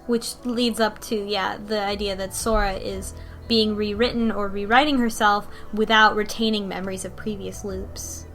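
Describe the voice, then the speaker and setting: A woman's voice: mid-range voice, fairly even intonation, normal speed, a bit bright, soft background music. A woman in a casual group chat over an online call, thinking aloud in a rambling way with many fillers. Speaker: book club member.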